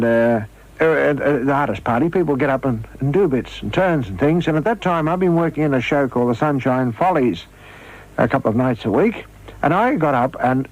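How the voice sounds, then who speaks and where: Animated older Australian man reminiscing. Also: medium pitch. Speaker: former radio comedy performer, interviewee. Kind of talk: reminiscing, anecdotal interview. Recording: slightly dull archive radio interview.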